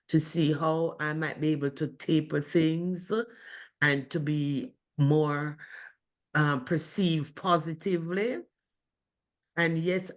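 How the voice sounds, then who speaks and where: Slow, deliberate woman with a strong voice. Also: sits low. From from abroad. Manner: slow, deliberate, with many pauses and fillers. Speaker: webinar participant. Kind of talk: reflective group discussion. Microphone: video call.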